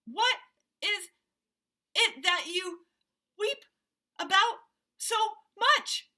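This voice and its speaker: A woman voicing a polite, quick-witted young man caught in trouble, in a storybook reading. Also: fairly high pitch, expressive, pushed and bright.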